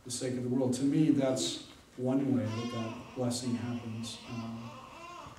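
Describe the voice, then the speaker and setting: A pastor speaks to an audience in a loose, conversational way, often pausing with fillers. His voice is warm and mellow and sits at an ordinary pitch. He is recorded from far off in a very echoey hall with a faint hum of the room behind him. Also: sing-song. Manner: conversational, hesitant, many fillers. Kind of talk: casual lecture with audience Q&A.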